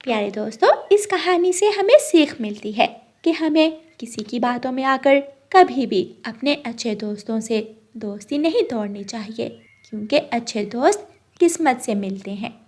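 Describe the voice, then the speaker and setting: A woman's voice: on the high side, lively intonation, moderate pace, darkish tone. A woman narrator reading a scripted moral story for children.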